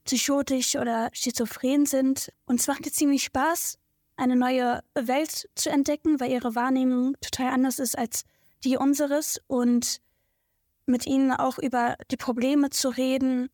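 A woman's voice: sits high, a little mellow, no room sound, clean studio sound. A nineteen-year-old woman from Berlin, a psychology student and podcast guest, talking openly and a little nervously, searching for words now and then. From Berlin, Germany. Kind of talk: casual conversation.

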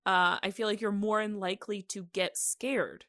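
A woman podcast host chatting casually in a halting, informal way, often dropping in "like" as she thinks aloud. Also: medium pitch, steady tone, sharp tone, no room sound, studio quality. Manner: halting, informal, filler-heavy with "like". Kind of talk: casual chat about horror radio.

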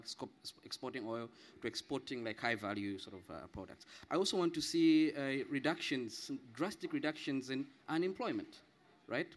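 Male panelist, very quick medium-pitched delivery, emphatic and repetitive. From Zambia. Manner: emphatic repetition, frequent checking "right?". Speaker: panel guest. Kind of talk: spontaneous explanatory discussion.

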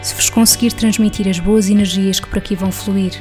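Woman, European Portuguese accent, podcast host, measured friendly delivery, mid pitch. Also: steady tone, darkish tone, soft background music. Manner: measured, friendly announcing, brisk in chat. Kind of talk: podcast intro and interview.

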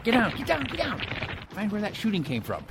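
Man acting as lively commanding wartime sergeant, noisy. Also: high voice, a little fast, vocal fry, full character voice. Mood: urgent, commanding. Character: WW2 Marine sergeant, commanding, brisk and gruff. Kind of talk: amateur radio war drama acting.

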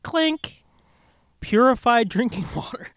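Male podcast co-host, very lively pitch swings, close dry sound, crude joking banter. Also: slow pace, no room sound. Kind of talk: casual comic banter.

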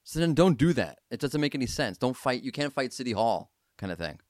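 A man hosting a podcast, talking casually and emphatically in quick bursts. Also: medium pitch, big pitch swings, a little mellow, no echo, studio quality. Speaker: podcast host.

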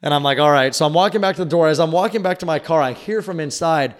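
A man's voice: a little high, quick pace. A man casually telling a long, rambling story on a podcast and swearing freely. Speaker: podcast co-host. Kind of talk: casual chat.

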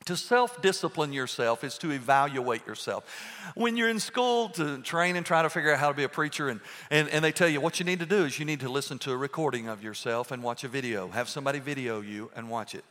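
Animated pastor in a reverberant church. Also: male voice, medium pitch, fast. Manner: animated, emphatic preaching with rhetorical questions. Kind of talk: sermon. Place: church sanctuary. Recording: long reverb in a church hall.

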